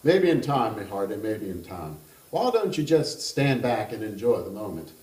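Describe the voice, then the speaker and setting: A man voicing a jovial, decadent Kentucky gentleman in a story reading, with a Kentucky accent and a languid, teasing drawl. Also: on the low side, sing-song, normal speed. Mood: indulgent. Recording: roomy sound, distant mic.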